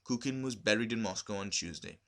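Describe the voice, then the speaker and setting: A man narrating a literary short story from a script, expressive and deliberate, with emphasis on key words. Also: fairly low pitch. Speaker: amateur audiobook reader.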